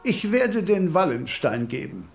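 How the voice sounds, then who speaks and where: A self-important stage actor in a radio play. His fairly high voice swings through wide melodies at a slow, theatrical pace. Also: sing-song, creaky, full character voice. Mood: aloof. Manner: theatrical, measured. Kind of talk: declamatory radio drama acting.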